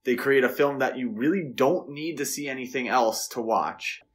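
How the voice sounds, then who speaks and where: A man's voice: mid-range voice, normal speed. A man co-hosting a podcast, talking casually and giving his opinions on films in a conversational way. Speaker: podcast co-host. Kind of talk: casual film discussion.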